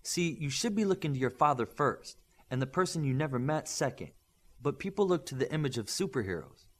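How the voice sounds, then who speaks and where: A man voicing a stern, principled instructor who lectures young superhero trainees in measured, emphatic audiobook dialogue. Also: on the high side, expressive. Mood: earnest, firm. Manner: emphatic, didactic, measured lecturing with rhetorical questions.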